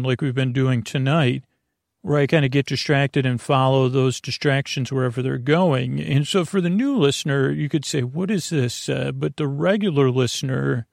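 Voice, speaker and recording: A man with a gravelly, creaky voice talks as a podcast host settling listeners in for the night. He wanders through his sentences hesitantly, sidetracking himself and gently putting himself down. The sound is dry and close, with no room around it. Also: medium pitch, dry recording. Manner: meandering, hesitant, self-deprecating, digressive. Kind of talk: rambling bedtime monologue to listeners.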